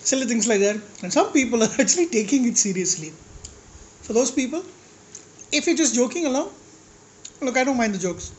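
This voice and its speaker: A man with a slightly high-pitched voice, ranting casually to his vlog audience in a rambling, blunt way and swearing at critics. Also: sing-song, a little fast, long pauses, a little hiss or hum. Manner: rambling, with restarts and asides. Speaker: food vlogger. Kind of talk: casual rant to camera while eating.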